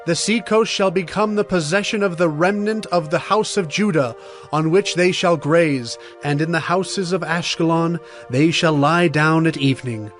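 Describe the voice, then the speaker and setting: A man as stern prophet, high-voiced, over music. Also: fairly bright tone, close to an everyday voice. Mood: condemning. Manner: solemn, emphatic, deliberate oratory. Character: urgent male Hebrew prophet proclaiming doom, stern. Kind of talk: dramatized scripture reading, declamatory.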